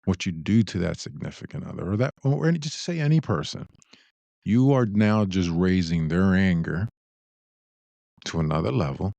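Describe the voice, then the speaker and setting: A man with a deep voice, co-hosting a casual advice discussion in a reflective way, often posing rhetorical questions. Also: animated, normal speed, vocal fry, mellow, no room sound, highs cut off. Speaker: podcast co-host.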